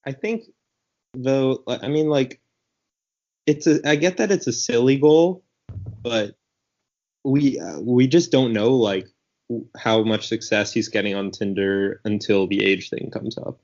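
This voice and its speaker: A man's voice: mid-range voice, unhurried, darkish tone. A man in casual podcast chat, talking hesitantly with lots of fillers. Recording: dull lo-fi recording.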